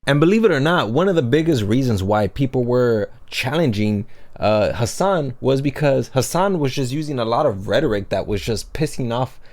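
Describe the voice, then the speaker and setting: A man's voice: mid-range voice, animated, normal speed, darkish tone. Man narrating casual video commentary.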